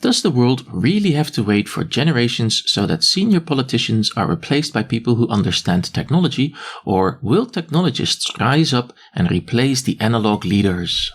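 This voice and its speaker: A husky-voiced man moderating, reading prepared audience questions aloud. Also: mid-range voice, sing-song, normal speed.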